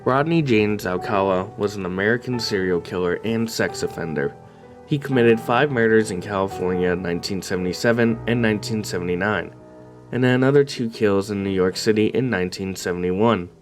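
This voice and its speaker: Man, low voice, slightly raspy, coming down with a cold, somber true crime narration, faint music behind. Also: fairly quick. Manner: somber, steady storytelling. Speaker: host.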